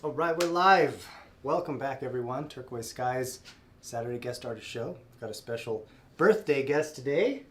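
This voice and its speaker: A man's voice: mid-range voice, animated, moderate pace, fairly bright tone. Man, stuffed up with a cold, live shop stream host, rambling, fillers, faint background noise. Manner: friendly, rambling, many fillers and restarts. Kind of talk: casual live-stream chat.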